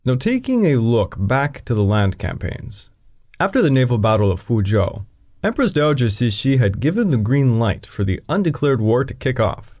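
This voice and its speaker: Brief: male history podcast narrator, animated delivery, dry humor in asides. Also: medium pitch, moderate pace. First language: English. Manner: dry asides breaking into the reading.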